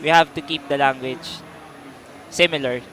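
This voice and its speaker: A man's voice: mid-range voice, steady tone, moderate pace, a bit bright, low-quality recording. A man from the Philippines, a game editor, answering in a hesitant way with many fillers.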